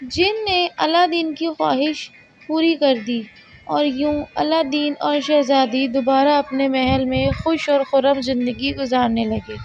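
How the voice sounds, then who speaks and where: A woman reading a scripted children's story as the storyteller. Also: high-pitched. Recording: slightly dull, lo-fi narration track over music. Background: soft background music.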